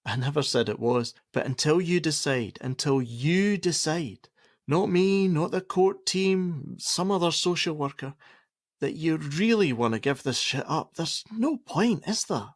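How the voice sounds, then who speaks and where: A man's voice: on the high side, expressive, measured pace, no room sound, clean studio sound. A middle-aged man playing a curt, exasperated social worker and father in a radio play, speaking bluntly and insistently. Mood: stern. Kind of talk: naturalistic radio play acting.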